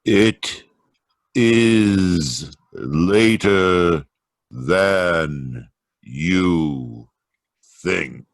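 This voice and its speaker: A man plays an ominous figure from old-time radio horror. The voice is deep and drags very slowly, full of menace. The sound is close and dry. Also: expressive, dry recording, full character voice.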